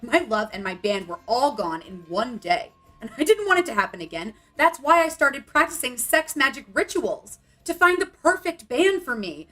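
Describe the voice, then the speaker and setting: A woman's voice: sits high, big pitch swings, moderate pace, few pauses, pushed and bright, faint music behind, full character voice, low-quality recording. A woman playing a dramatic, wounded young bohemian punk singer in a motion comic. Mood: wistful, confessional.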